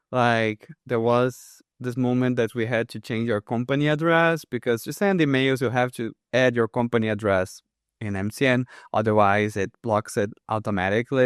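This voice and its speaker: A man's voice: mid-range voice, clean tone, no room sound, clean studio sound. A young adult man with a Brazilian accent, chatting casually about work as a co-host. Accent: Brazilian Portuguese. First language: Portuguese.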